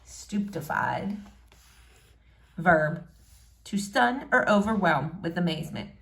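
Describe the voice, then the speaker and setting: A woman reading a novel aloud to young listeners in an expressive storybook style, pausing dramatically on key words. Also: lowish voice, gravelly. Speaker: read-aloud presenter.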